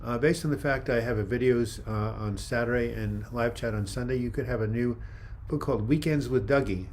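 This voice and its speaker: An older man hosting a casual live chat, reading viewer comments in a calm, soft-spoken way with frequent restarts. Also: medium pitch, brisk, slight background noise. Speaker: livestream host.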